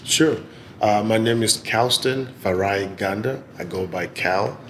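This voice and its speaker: A man in his forties with a Zimbabwean accent, giving measured, articulate answers in an interview. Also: on the low side, fairly bright tone, slight background noise. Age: 40s. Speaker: interviewee, business executive and gallery owner. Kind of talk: oral history interview answers.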